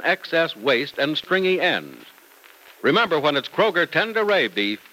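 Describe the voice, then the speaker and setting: A man's voice: a little high, bright, forceful tone, rough recording. A man announcing in 1940s radio style, reading a script with formal, emphatic salesman delivery.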